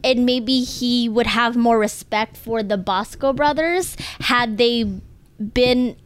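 A woman's voice: fairly high pitch, takes it slowly, smooth. A woman co-hosting a podcast in casual conversation, speaking candidly and swearing casually. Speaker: podcast co-host.

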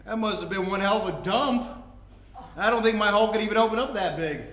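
A man acting out a cocky, athletic big brother in a live comedic play reading. His voice sits high for a man, and he talks in mocking, slangy jabs. The room around him is noticeably echoey. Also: fast, a bit bright. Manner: slangy, mocking, jock-like delivery. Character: brash, taunting, athletic man of thirty-two, needling younger brother. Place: indoor room before a live audience. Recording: roomy live stage recording.

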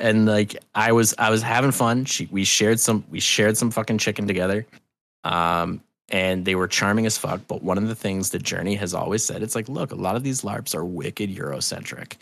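A man being interviewed, telling stories in an animated, rambling, sweary and self-deprecating way. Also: fairly low pitch, moderate pace, creaky, no room sound. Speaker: interview guest, festival promoter. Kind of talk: casual storytelling interview.

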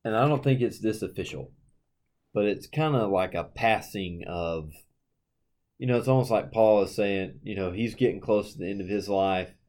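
A man's voice: on the low side, moderate pace, darkish tone. A man co-hosting a casual Bible discussion, talking earnestly and conversationally with plenty of "you know"s. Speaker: Bible study co-host.

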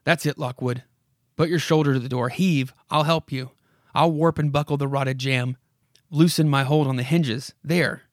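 A man's voice: mid-range voice, steady tone, moderate pace, no echo, close to an everyday voice. A man puts on the voice of a haunted old house from a horror comic. It speaks straight to its victim with dramatic urgency, insistent and commanding. The sound is dry, with hardly any room around it. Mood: urgent, commanding. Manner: dramatic, commanding second-person address with repeated imperatives. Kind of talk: horror comic read aloud.

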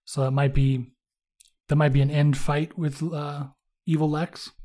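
A man's voice: on the high side, level tone, measured pace, warm tone. A man co-hosting a casual podcast, self-mocking, laughing often and swearing freely. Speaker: podcast co-host. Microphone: studio podcast mic.

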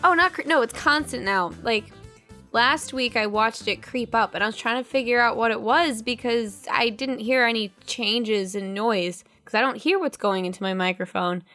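A woman's voice: fairly high pitch, moderate pace, bright, forceful tone. A woman co-hosting a casual podcast, chatty and giggling often. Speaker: podcast co-host. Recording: faint music and mic static.

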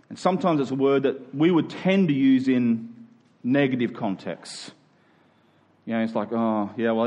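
A man's voice: medium pitch, a little mellow. Male pastor, Australian accent, mid-range voice; conversational, explanatory preaching with emphasis on key phrases. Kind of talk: conversational expository sermon.

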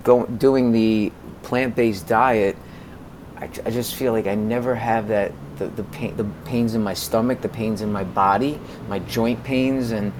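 American male, 40s, podcast guest. Lowish voice, halting conversational delivery, noticeable background noise. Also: steady tone. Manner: halting, repeats words as he goes. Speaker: podcast guest, music consultant. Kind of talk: conversational interview.

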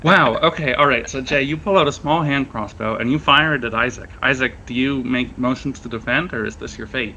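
A man's voice: mid-range voice, brisk, hardly any pauses, pushed and bright, dull audio, slight background noise. A man running a tabletop role-playing game, improvising narration in a casual, conversational way and reacting to his players. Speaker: game master.

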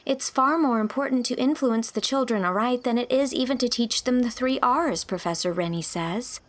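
A woman's voice: on the high side, normal speed, pitched above the performer's own voice, close to an everyday voice. A woman voicing an earnest, idealistic young female teacher in an audiobook, speaking emphatically and pleadingly. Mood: firm, idealistic. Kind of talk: audiobook character dialogue.

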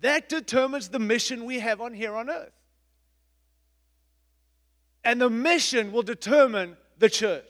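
A middle-aged man, a pastor, preaching a sermon in an emphatic, repetitive style with big swings in loudness. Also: very high-pitched, normal speed, long reverb tail. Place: church.

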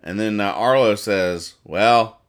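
A man hosting a casual comedy podcast, describing comic strips aloud and explaining their jokes in a rambling, halting, mock-serious way. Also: fairly low pitch. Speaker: podcast host reading newspaper comics.

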